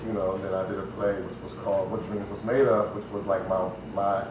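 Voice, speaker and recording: A man's voice: low voice, level tone, brisk. A man giving a casual interview as a guest, rambling along in a conversational way and often saying "you know.". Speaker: interview guest, stage play writer and producer. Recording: very echoey, distant, dull, noisy room.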